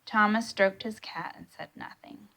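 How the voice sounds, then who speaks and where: A woman narrating a storybook in an expressive read-aloud style. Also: medium pitch, unhurried, vocal fry. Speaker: storybook reader.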